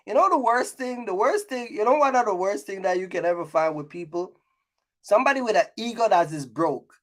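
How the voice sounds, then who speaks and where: A man with a distinctly high voice talks to his viewers as a vlog host. His delivery is casual and colloquial, full of opinion. He leans on repetition to press each point emphatically. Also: expressive, normal speed. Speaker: YouTube host, trucking business creator.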